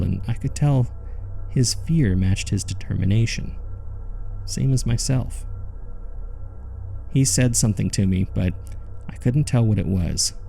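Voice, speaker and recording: A man playing a haunted survivor, narrating a horror audio drama in the first person with somber, measured suspense. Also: fairly low pitch, vocal fry, mellow.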